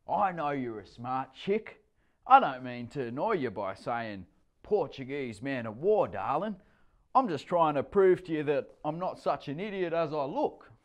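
Man voicing a chatty Aussie stepdad, lifted pitch, boastful bloke. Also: animated, measured pace. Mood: chirpy. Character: cheerful boastful middle-aged surfer stepdad.

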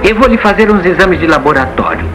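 Animated, high-voiced cartoon psychiatrist. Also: male voice, fast, low-quality recording. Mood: clinical explaining. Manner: formal, clinical, explanatory. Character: self-assured male cartoon psychiatrist telling a case. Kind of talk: Brazilian cartoon dub. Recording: music behind the voice.

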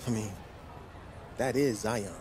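An actor playing a wired, persuasive, cocky young American basketball prospect in a video game, using casual slang. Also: male voice, on the low side, fairly even intonation, takes it slowly, crowd noise, noisy background. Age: young adult.

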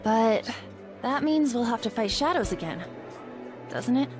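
A woman playing a sincere, sensitive teenage schoolgirl in a video game, earnest and expressive. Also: on the high side, measured pace. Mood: earnest, hesitant. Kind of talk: English video game voice acting. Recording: music behind the voice.

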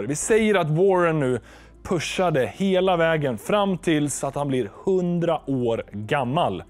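A man's voice: fairly high pitch, darkish tone. A young man explaining investing in front of a chart screen in a studio, speaking steadily into a lapel mic. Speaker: finance educator.

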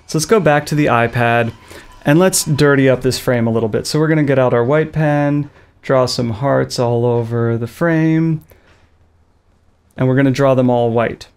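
A man in his thirties walks viewers through a gadget setup in a loose, jokey way. He speaks at an ordinary pitch, close to a studio boom microphone in a small studio. His asides are playful and silly. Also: moderate pace, darkish tone, smooth. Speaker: video tutorial host. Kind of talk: loose, jokey tech tutorial. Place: small studio with a blue backdrop. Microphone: studio mic on a boom arm, close.

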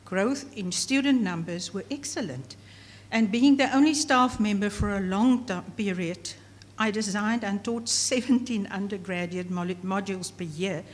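A middle-aged woman whose first language is Afrikaans, giving a formal academic lecture from a prepared text at a podium. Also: medium pitch. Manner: measured, read from a prepared text at a lectern. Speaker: professor giving inaugural lecture. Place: large hall, at a podium. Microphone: podium microphone. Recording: long hall reverb, faint room noise.

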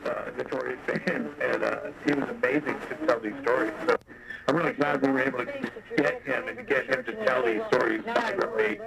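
A man's voice: mid-range voice, fairly even intonation, brisk, gravelly, over a noisy crowd. A man talking casually, heard through a radio speaker. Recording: noisy shortwave broadcast, crowded voices behind.

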